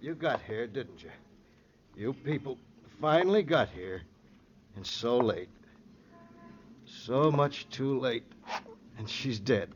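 An actor playing a weak, wounded, grief-stricken man in old radio drama, speaking in halting, broken phrases. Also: mid-range voice, expressive. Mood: anguished, reproachful. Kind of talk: 1950s radio crime drama acting. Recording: dull, slightly noisy old radio recording.

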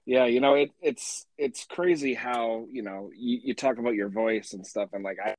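An enthusiastic man hosts a laid-back interview at a middle pitch. He keeps saying "you know" as he gathers his thoughts. The recording is close and dry. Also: normal speed, darkish tone, no echo, rough recording. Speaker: interviewer, host. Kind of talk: casual interview chat.

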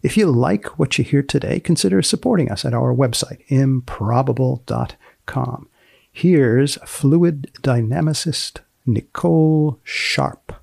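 A man presenting a podcast from a script and asking questions, deliberate and deadpan, repeating phrases for effect. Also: medium pitch, vocal fry, breathy edge. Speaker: podcast host and magazine editor.

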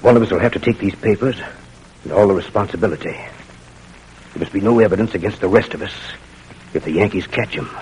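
Man acting deep, grave spy captain. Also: a little mellow, natural voice, rough recording. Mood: commanding. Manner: measured, authoritative, solemn. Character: grave, commanding older Confederate spy captain, former doctor. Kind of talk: 1940s radio drama acting. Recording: old radio recording, faint noise.

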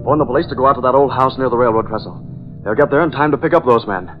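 An American actor playing a decisive boss and secret masked crime-fighter, crisp, deliberate and melodramatic in 1940s radio style. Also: male voice, mid-range voice, soft background music, lightly acted. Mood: urgent, resolute. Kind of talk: 1940s radio drama acting. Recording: dull, band-limited old radio recording.

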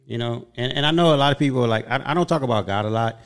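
A man's voice: mid-range voice, racing, clean tone. A man in his fifties hosting a podcast, telling stories in an earnest, upbeat way during a casual chat. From Southern California. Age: 50s. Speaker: podcast host.

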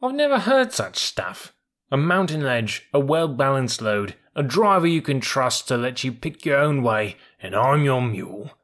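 A man's voice: medium pitch, sing-song, a little slow, a bit bright, voice pushed up. A man voicing a proud, stubborn, quarrelsome male army mule in a storybook reading, indignant and blustering. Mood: scornful.

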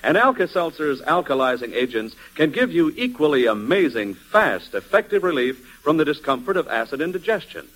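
Male radio pitchman, 1940s style: higher-set voice, swooping and persuasive. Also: sing-song, fairly quick, few pauses, fairly bright tone, rough recording. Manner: emphatic, persuasive delivery. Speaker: commercial announcer. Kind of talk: 1940s radio advertisement read.

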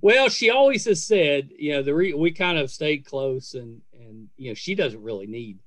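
A man's voice: fairly high pitch, expressive, moderate pace, fairly bright tone, dry recording. A middle-aged man hosting a podcast in a casual conversational interview style, effusive and full of praise. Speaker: podcast host.

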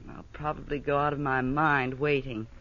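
A woman's voice: rumbling low, flat delivery, normal speed, fairly bright tone. An actress playing an exasperated, impulsive young society woman in a 1940s radio drama. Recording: muffled, hissy old radio broadcast.

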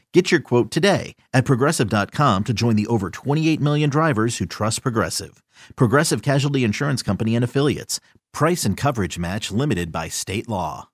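A male announcer reads a scripted ad in an upbeat, salesy way. His smooth delivery sits at a mid pitch, recorded dry and close. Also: dry recording. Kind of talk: scripted ad read.

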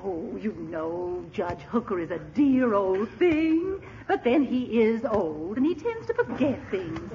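Sugary, bossy committee lady, high and swooping, fluttery flattery, muffled vintage radio comedy. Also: slow, hardly any pauses, slight background noise. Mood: gushing. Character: gushing, flattering, managing society committee chairwoman. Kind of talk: old-time radio comedy acting.

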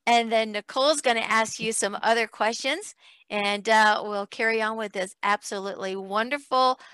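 Female talk show host, bright forceful voice, close dry sound, emphatic motivational delivery in conversation. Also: fairly high pitch, normal speed, clear, resonant tone, dry recording. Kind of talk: conversational interview hosting.

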